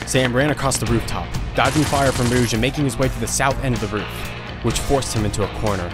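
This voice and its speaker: A man narrates a fan-made audio drama in a steady, scripted way. His pitch sits in the middle range, with a loud musical score running under his voice. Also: fast, music in the background.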